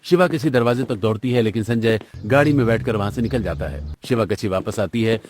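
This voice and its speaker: A man's voice: on the low side, fast talker, a little mellow. A man delivering scripted narration for a cartoon story.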